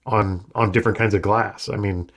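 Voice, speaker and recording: A man's voice: on the low side, steady tone, moderate pace, a bit bright. Scratchy-voiced joking podcast co-host man. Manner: dry joking asides. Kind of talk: casual chat.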